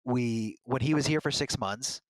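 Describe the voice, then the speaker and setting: Guy talking candid and earnest on a podcast, average pitch, dry close sound. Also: moderate pace, no room sound, low-quality recording. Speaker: fighting game commentator, podcast guest. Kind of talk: casual podcast conversation.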